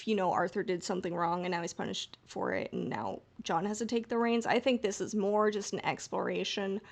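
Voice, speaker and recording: A woman co-hosting a podcast, talking casually and analytically, thinking aloud with frequent asides. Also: mid-range voice. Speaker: podcast co-host. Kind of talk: casual podcast discussion, analysis of a fiction story.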